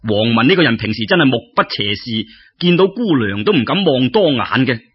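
A man telling folk tales as an expressive storyteller, in a conversational way that addresses listeners directly. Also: mid-range voice, a little fast, breathy edge, lo-fi sound.

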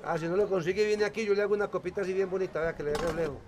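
A man's voice: high voice, fairly even intonation, racing. A middle-aged Colombian man chatting colloquially as a hardware stall owner being interviewed, often repeating and rephrasing himself. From Tuluá, Valle del Cauca, Colombia. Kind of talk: casual interview chat. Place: hardware stall in a public market. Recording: busy indoor market crowd noise.

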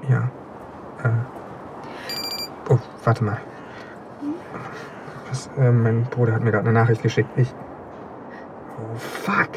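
An actor playing a nervous, hesitant young accomplice in a naturalistic radio drama, stammering anxiously. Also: male voice, mid-range voice, flat delivery, slow, pauses often, background noise. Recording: music behind the voice.

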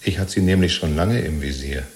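An actor in a 1970s German radio play, playing a chatty, servile jailed party loyalist who rambles and justifies himself. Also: male voice, sits low, brisk, close to an everyday voice. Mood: self-justifying. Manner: rambling, ingratiating, chummy, seeking reassurance.

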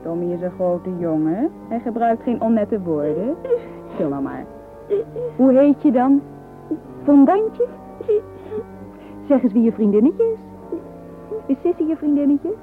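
A woman's voice: fairly high pitch, animated, unhurried, pauses often. A woman playing a bossy, teasing teenage girl minding small boys in a radio play. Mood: coaxing, teasing. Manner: commanding, cooing, theatrical. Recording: tinny old radio recording, faint music.

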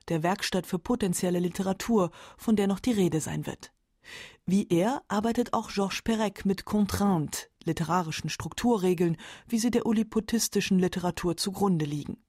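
A woman narrating a scripted radio documentary in a calm, factual manner. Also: mid-range voice, slightly rough, no echo, clean studio sound. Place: dry radio studio.

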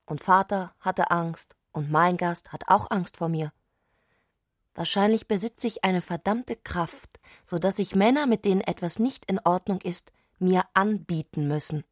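A woman's voice: lowish voice, a little slow, no room sound, studio quality. A woman playing a fervent, eccentric woman in a literary radio drama, insistent and declarative. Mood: exalted, insistent. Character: Clarisse, fervent, eccentric woman obsessed with madness.

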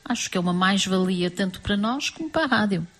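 A woman's voice: medium pitch, animated, fast talker, a bit bright. A middle-aged woman radio presenter with a Portuguese accent, chatting in a panel and repeating phrases for emphasis. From Portuguese emigrant living in France. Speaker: emigrant community radio presenter. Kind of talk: casual panel conversation.

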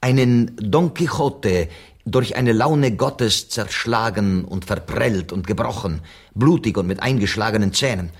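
An actor playing a courteous, patient Spanish interpreter who translates in a measured way in a radio play. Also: male voice, lowish voice, husky.

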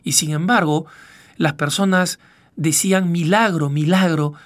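A middle-aged man from Peru, raised in Argentina, hosting a reflective radio commentary in a measured, halting way as he searches for words. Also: on the high side, steady tone. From born in Peru, raised in northwestern Argentina. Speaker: radio program host, news agency director.

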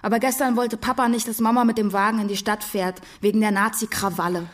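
A woman's voice: medium pitch, steady tone, quick pace, close to an everyday voice. A young woman playing a breezy, bossy, self-satisfied girl of nineteen from a well-off home in a radio drama. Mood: chatty, animated. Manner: chatty, bossy, carefree.

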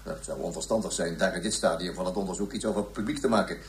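An actor playing a pompous, evasive police inspector who talks in stiff officialese in a radio play. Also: male voice, low voice, rapid-fire. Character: pompous, self-important male police inspector giving an interview. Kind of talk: radio drama acting. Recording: roomy, echoing radio play recording.

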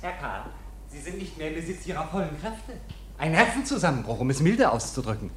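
A man's voice: a little high, big pitch swings, a little fast, fairly bright tone. A man in a German radio play acting a condescending, scheming bank colleague with insinuating mock courtesy.

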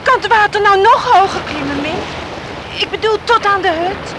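Anxious young girl in a radio play, really high voice, eager, loud waves behind her. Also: moderate pace. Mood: anxious, curious. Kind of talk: Dutch radio play acting. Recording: noisy radio drama with crashing surf.

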